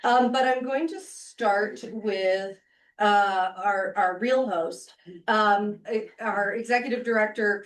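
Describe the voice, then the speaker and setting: A woman's voice: medium pitch, reverberant, far from the mic, poor audio. A woman introducing a panel at a breakfast meeting, talking casually with frequent ums and uhs. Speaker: event moderator. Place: meeting room.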